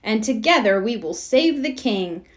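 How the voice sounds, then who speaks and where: A woman's voice: a little high, lively intonation, unhurried. A woman voicing an eager, careless young boy turtle from a children's storybook. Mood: bold, cheerful.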